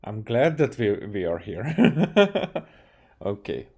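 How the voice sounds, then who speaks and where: A man's voice: mid-range voice, sing-song, slow pace, creaky, a little mellow, husky. A man from Hungary with a Hungarian accent, giving casual live commentary as a game streamer.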